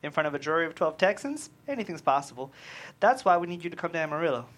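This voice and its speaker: Actor as trial consultant from Texas, blunt, forceful, insistent, script table read. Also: mid-range voice, big pitch swings, fast, lightly acted.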